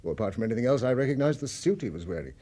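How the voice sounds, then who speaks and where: An actor playing an anxious, courteous financier in a radio drama, speaking earnest British English with polite hesitations. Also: male voice, medium pitch, big pitch swings, rapid-fire, mellow, husky. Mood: earnest, worried. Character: anxious, courteous financier worried about his highly strung sister.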